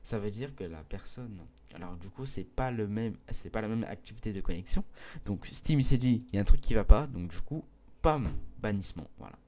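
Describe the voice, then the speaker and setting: Hesitant teenage boy with a mellow voice. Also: on the low side, lively intonation, a little fast. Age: mid-teens. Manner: hesitant, many fillers and restarts; earnest, pleading with viewers. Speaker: gaming video creator. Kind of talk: casual explanation to viewers.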